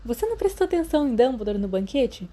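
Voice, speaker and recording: A chatty Brazilian schoolgirl from a storybook, acted by a woman narrator. She sounds dreamy and mildly disapproving, with a lifted pitch and a soft tone. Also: sing-song, fast talker. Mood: informative. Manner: sighing, matter-of-fact.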